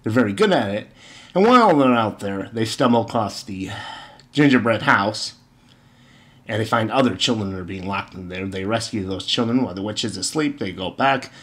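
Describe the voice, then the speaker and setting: A man's voice: lowish voice, lively intonation, normal speed. A man giving informal opinion commentary and retelling stories, with exasperated, sarcastic emphasis and rhetorical questions. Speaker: video commentator.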